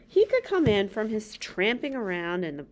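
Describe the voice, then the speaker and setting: Animated woman reading to children. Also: mid-range voice, moderate pace, a little hiss or hum. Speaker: read-aloud host. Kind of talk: children's story reading with casual asides. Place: small indoor room with bookshelves.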